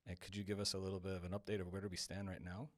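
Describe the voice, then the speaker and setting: A man's voice: sits low, level tone, very fast, no room sound, clean studio sound. A man hosting a podcast interview, laying out the background before asking the guest a question. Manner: explaining, then asking. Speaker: podcast host.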